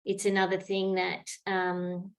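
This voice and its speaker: An Australian woman hosts an online Q&A in a mid-pitched voice, thinking aloud and filling her pauses with "um" and "you know." Her questions come out slowly and conversationally over online call audio. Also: monotone. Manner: reflective, thinking aloud with many fillers. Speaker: host, moderator. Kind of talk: online webinar Q&A.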